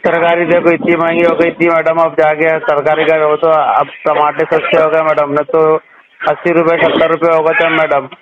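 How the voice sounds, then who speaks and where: A man's voice: on the high side, monotone, normal speed, crowd noise. A man with a Hyderabadi accent rambling through exasperated, repetitive complaints over the telephone. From Hyderabad Old City, India. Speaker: called customer. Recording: noisy narrowband phone call recording.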